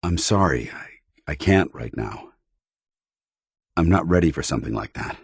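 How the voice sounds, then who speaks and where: A man playing a stern, earnest soldier who is secretly synthetic, speaking in a formal, measured military manner for a video game. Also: a bass voice, lively intonation, husky, no room sound, studio quality, heavily acted. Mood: hesitant, uneasy. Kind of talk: video game companion dialogue, dramatic acting.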